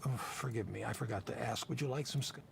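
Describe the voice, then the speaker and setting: An actor voicing a self-assured, philosophical tech visionary in a visual novel game, musing aloud in an expansive, rhetorical manner. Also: male voice, mid-range voice, fast, gravelly, slight background noise. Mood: genial, wry. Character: smooth-talking male tech visionary, philosophical, self-assured, whiskey-loving.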